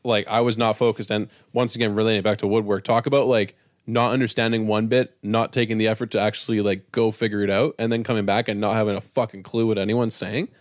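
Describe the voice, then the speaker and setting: A young man with a somewhat low voice chats in a loose, curious way and swears freely. His voice sounds dry and close to the mic. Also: level tone, quick pace, no room sound. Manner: swears casually, asks curious questions. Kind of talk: casual chat.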